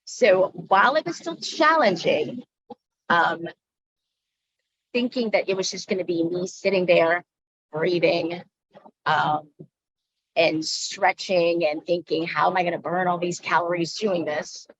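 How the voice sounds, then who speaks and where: A woman from the Philippines, a yoga instructor, telling her personal story in a halting way with frequent pauses. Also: on the low side, fairly bright tone, breathy edge, dry recording, muffled recording. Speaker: guest speaker, yoga instructor. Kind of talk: personal talk.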